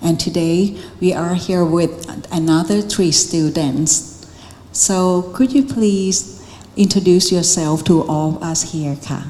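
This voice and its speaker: Give the panel a woman moderator with a Thai accent, polite and formal. Her voice is on the low side and mellow. It carries through an echoey hall. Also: steady tone, normal speed. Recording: echoey hall, faint background noise.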